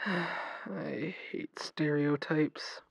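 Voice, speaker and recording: Audio drama caller: a lovesick, anxious vampire, acted, halting and confiding, medium pitch, very dry sound. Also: gravelly, slightly rough, dry recording, heavily acted. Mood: confused, sheepish. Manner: hesitant, stammering, confiding. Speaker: radio show caller. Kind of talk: audio drama call-in acting.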